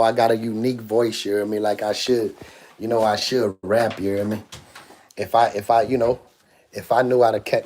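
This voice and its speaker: A man's voice: fairly low pitch, a little mellow. A man hosting a casual phone livestream, rambling and asking "you hear me" again and again. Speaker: livestream host. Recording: noisy phone livestream audio.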